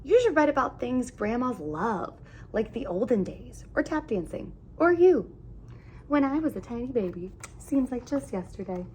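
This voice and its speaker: A woman reading a children's picture book aloud in an animated, expressive storytelling manner with emphatic phrasing. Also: on the high side, normal speed, a little hiss or hum.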